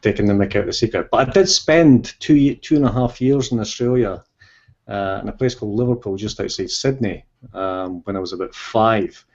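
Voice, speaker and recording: A Scottish man in his fifties with a lowish voice talks casually as an interview guest. He rambles and hesitates, filling gaps with ums and restarting sentences. The audio comes over a muffled, lo-fi video call. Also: a little fast, darkish tone. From Scotland. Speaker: author guest.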